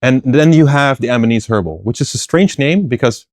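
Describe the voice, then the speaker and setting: A man's voice: medium pitch, lively intonation, fairly quick, darkish tone, no room sound, studio quality. A middle-aged man, explaining at length as an interview guest, thoughtful with frequent fillers and restarts. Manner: thoughtful, many fillers and restarts, laughs lightly. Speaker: guest, plant philosopher. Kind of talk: conversational interview answers.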